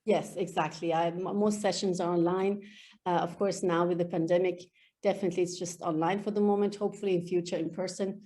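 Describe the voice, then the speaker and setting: A woman whose first language is Farsi, answering as a guest on a video-call interview about her tapping practice, her pitch often lifting as she tells a story. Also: fairly even intonation, brisk, dark tone.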